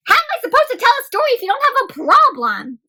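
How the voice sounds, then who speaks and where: A woman's voice: very high voice, huge pitch swings, fast talker, bright, forceful tone, pitched above the performer's own voice, heavily acted. A woman voicing an exasperated, flustered story narrator in a children's picture book, fretting and protesting. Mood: panicked. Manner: fretful, protesting. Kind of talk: children's storybook read-aloud.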